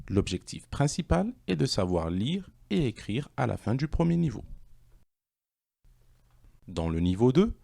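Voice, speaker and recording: Low-voiced man presenting a course. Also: vocal fry, mellow, breathy edge. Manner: reads questions and answers in a measured, explanatory way. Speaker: presenter of an online Arabic course.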